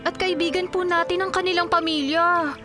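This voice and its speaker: High-voiced, pleading young daughter acted by a woman. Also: fairly even intonation, a little fast, over music. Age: young adult. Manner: polite, earnest. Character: respectful but pleading young daughter, defending her suitor. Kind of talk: Filipino radio drama acting.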